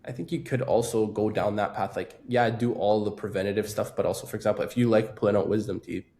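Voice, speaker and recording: Earnest young man, lowish mellow voice. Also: fairly even intonation, fast. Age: 20s. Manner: earnest, hesitant, many "like" fillers. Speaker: dental student guest. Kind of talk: casual podcast conversation.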